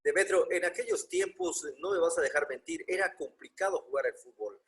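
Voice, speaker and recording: A man's voice: sits high, huge pitch swings, fairly quick, breathy edge. An older man, hosting a casual interview with chatty, theatrical questions.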